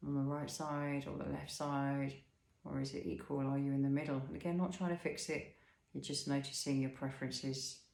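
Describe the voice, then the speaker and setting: A man leading a guided body scan as an instructor, speaking in a soothing, unhurried way with pauses and gentle questions. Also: medium pitch, level tone, gravelly, roomy sound.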